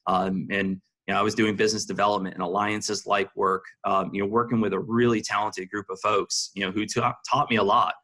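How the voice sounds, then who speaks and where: A man with a fairly low, forceful voice gives conversational interview answers like a business executive. His tone is bright, and he fills his sentences with "you know" as he explains things. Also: flat delivery, fairly quick, few pauses. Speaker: senior marketing executive being interviewed.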